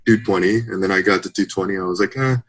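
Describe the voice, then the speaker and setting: Candid young man with a lowish voice. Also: fast talker. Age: 20s. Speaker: interview guest, bodybuilder. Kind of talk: casual interview chat.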